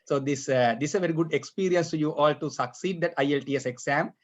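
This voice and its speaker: A man running a mock speaking exam as tutor, talking haltingly with many fillers and often clearing his throat, heard over a video call. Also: a little high. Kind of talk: examiner questions over an online class. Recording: dull lo-fi online call audio.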